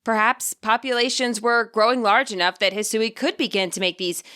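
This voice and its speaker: Bright, high-voiced woman narrating a story. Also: steady tone, normal speed, clear, resonant tone. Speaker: narrator of own fan fiction. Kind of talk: expressive scripted story narration.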